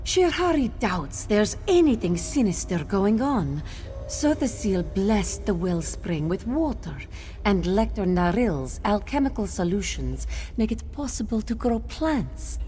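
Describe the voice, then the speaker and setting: A woman plays a gentle female cat creature who tends fungi in a fantasy game. Her voice is mellow and lively in pitch, with modest, measured phrasing over faint music. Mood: mildly doubtful. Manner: speaks of self in third person, measured. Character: gentle female cat-folk fungus grower, modest and matter-of-fact. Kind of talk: video game character dialogue.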